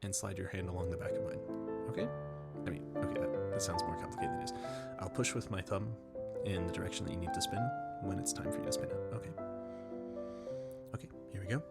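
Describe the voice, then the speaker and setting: Man acting a flustered, low-voiced romantic gentleman. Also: steady tone, fast talker, creaky, over loud music. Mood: encouraging.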